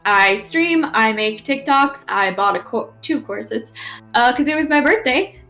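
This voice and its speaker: A chatty woman introducing herself before a tabletop session, with a somewhat high voice. Her talk is casual and full of self-deprecating asides. Faint music plays behind her. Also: expressive, unhurried.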